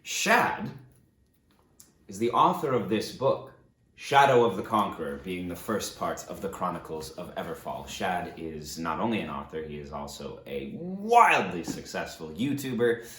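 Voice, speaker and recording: Low-voiced man in an echoey room. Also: fairly bright tone. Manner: self-deprecating, jokey, hesitant. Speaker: actor presenting a book reading video. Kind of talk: casual, rambling talk to camera. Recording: roomy, echoing indoor sound.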